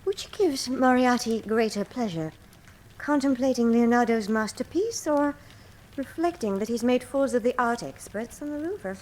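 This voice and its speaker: An actress playing a clever, guarded adventuress in a radio drama, with a refined and composed manner. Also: female voice, fairly high pitch, animated, normal speed, darkish tone, lightly acted. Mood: poised, guarded. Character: poised, clever female adventuress posing as an heiress.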